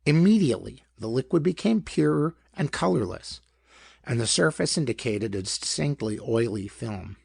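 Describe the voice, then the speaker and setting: A man reading a pulp detective story as scripted narration, in an expressive, theatrical manner. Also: mid-range voice, moderate pace, creaky, breathy edge, no echo, clean studio sound. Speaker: audiobook narrator.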